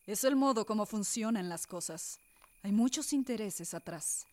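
Actress as a cautious, evasive colonial niece. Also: female voice, mid-range voice, expressive, moderate pace, lightly acted. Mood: wary. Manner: polite, careful, evasive. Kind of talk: radio drama acting.

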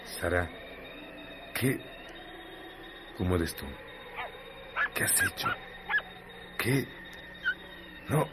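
A man narrates a radio drama at a very slow, measured pace. His voice is fairly low and dramatic, and clear noise sits in the recording. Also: huge pitch swings.

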